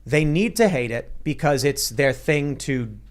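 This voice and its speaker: Animated high-pitched male show host. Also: a little slow. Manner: animated, voice jumps up when excited. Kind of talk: casual panel chat.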